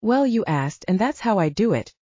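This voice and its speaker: A woman doing playful male soldier, teasing, coaxing, lively intonation, slightly husky, casual audiobook banter. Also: mid-range voice, moderate pace, no room sound, lo-fi sound. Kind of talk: dramatized audiobook dialogue.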